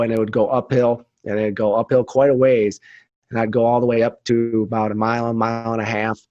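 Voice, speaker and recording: A man's voice: on the low side, a little mellow, dry recording, highs cut off. A man speaking earnestly and motivationally as a guest in a conversational interview. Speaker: podcast guest, leadership trainer.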